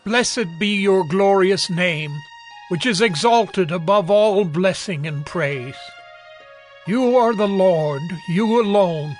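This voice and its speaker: An actor playing a reverent Levite praising God in a scripture drama, exalting and deliberate. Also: male voice, high voice, faint music behind. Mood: reverent praise.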